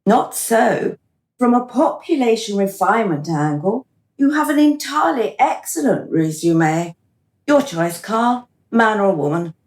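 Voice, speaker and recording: An actress playing a composed, wry reincarnation official in a radio play. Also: female voice, on the high side, big pitch swings, a little slow, a little mellow. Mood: businesslike. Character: brisk, composed female reincarnation official, playfully flirtatious. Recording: roomy, echoing radio play recording.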